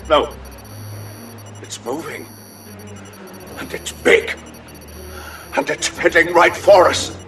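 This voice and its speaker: A man's voice: fairly high pitch, takes it slowly, long pauses, fairly bright tone, faint music behind. An actor playing a nervous, fussy and sarcastic male coordinator in a science fiction audio drama. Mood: frightened. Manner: fretful, fussy.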